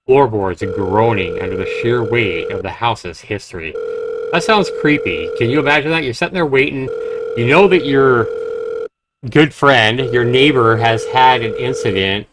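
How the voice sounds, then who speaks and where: A man livestreaming a horror game, reading dramatically and commenting casually, with loud game sound behind his voice. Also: mid-range voice, normal speed, noisy background. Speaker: game streamer. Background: horror game audio.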